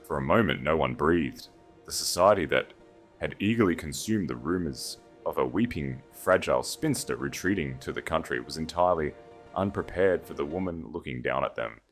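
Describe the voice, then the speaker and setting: A man with a low voice narrates a dramatic story in a scripted audiobook style. Faint music plays behind his reading. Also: animated, normal speed.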